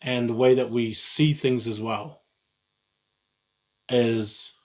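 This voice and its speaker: A man's voice: medium pitch, slow pace, a little mellow. A man, talking casually on his own and often restarting his sentences. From New Zealand. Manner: rambling, frequent restarts and self-corrections. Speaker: vlogger. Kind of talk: casual solo talk.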